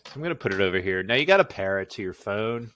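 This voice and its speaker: A man's voice: lowish voice, expressive, fast talker, a bit bright. A middle-aged man rambling casually through a product unboxing in a goofy, grumbling, mildly profane way. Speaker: unboxing video host.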